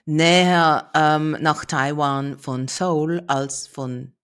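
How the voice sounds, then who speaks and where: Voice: medium pitch, fairly even intonation, extremely slow, fairly bright tone, clean tone. A person chatting casually and explaining things in a matter-of-fact way. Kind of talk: casual conversation.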